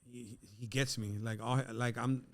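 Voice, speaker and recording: A man's voice: medium pitch, fairly even intonation, measured pace. A middle-aged man talking casually as an interview guest, streetwise and profane, often adding "you know what I'm saying". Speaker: guest rapper.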